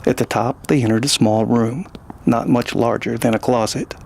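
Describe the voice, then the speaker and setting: A man narrating a scripted science fiction story in a measured, dramatic manner. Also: medium pitch, fairly even intonation, creaky, darkish tone, a little hiss or hum.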